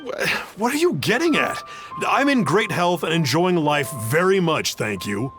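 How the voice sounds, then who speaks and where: A man's voice: sits high, very animated, moderate pace. A man voicing an indignant, defensive townsperson in a video game. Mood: offended. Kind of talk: video game character acting. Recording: music under the voice.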